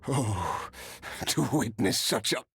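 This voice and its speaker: A man's voice: big pitch swings, fairly bright tone, breathy edge, dry recording, heavily acted. A man playing a dying, cursed immortal in a video game, weary and grandly resigned, with labored, solemn, theatrical delivery. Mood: pained, wistful. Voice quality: very deep, labored voice. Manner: solemn, slow, theatrical phrasing. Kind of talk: dramatic video game voice acting.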